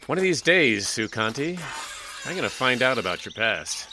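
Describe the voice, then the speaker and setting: An actor playing a steady small-town sheriff with calm, easygoing authority. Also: male voice, mid-range voice, moderate pace, noisy background, close to an everyday voice. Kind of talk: audio drama acting.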